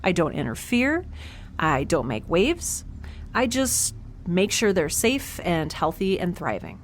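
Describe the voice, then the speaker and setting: A woman's voice: on the low side, sing-song, slow pace, a little hiss or hum. A woman playing a quirky, nervous scientist aboard a spaceship in an audio drama, rambling with sarcastic, self-deprecating humor. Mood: exasperated, insistent. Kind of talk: conversational audio drama acting.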